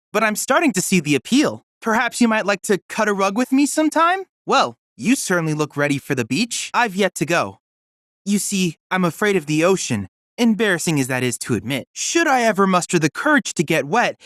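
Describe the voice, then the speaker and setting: A man's voice: sits high, fairly bright tone, no room sound, studio quality. A man voicing a polite, earnest young android research assistant in a video game, speaking with formal, precise diction. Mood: polite, wistful. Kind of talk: scripted video game dialogue.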